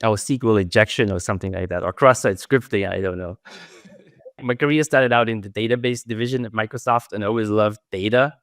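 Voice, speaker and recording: A man's voice: lowish voice, fairly quick, a bit bright, no room sound, studio quality. A middle-aged man from Austria with an Austrian German accent, a native German speaker, answering interview questions thoughtfully and trailing off at the ends of phrases. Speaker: security researcher guest.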